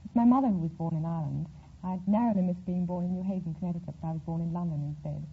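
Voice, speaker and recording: A woman's voice: lowish voice, very fast. A woman in her twenties answering casually as a contestant on a radio quiz. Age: 20s. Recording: muffled, noisy vintage radio recording.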